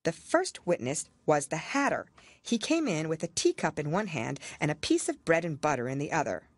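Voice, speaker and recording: Female audiobook narrator: lowish, animated storybook delivery, very dry sound. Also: moderate pace, no room sound. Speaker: audiobook reader. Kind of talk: expressive scripted storybook narration.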